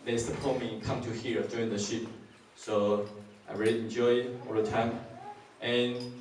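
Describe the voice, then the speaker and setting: A man in his twenties from Taiwan, a ship crew volunteer, giving a halting presentation to a church. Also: mid-range voice, flat delivery. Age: 20s. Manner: halting, searching for words, simple phrasing. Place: church hall. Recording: echoey hall, mic far off, faint noise.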